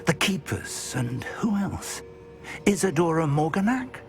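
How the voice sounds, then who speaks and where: A wizard ally with a fairly high, animated voice. He is earnest, scheming and emphatic, in game dialogue with faint music underneath. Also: a little slow, creaky, exaggerated voice. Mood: keen. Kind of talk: dramatic video game voice acting.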